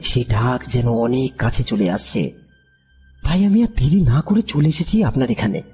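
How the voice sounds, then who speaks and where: An actor playing a bewildered village trader in an audio horror drama, speaking in an anxious, hesitant, pleading way with a husky, breathy voice. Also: male voice, mid-range voice, lively intonation, a little slow, darkish tone, natural voice. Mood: fearful pleading. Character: frightened, bewildered village trader recounting his strange ordeal. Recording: background music under the voice.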